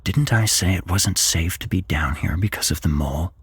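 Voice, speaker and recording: A man's voice: sits low, moderate pace, slightly rough, no room sound. A man voicing a stern, alarmed father in a horror story, urgent and questioning. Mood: scolding.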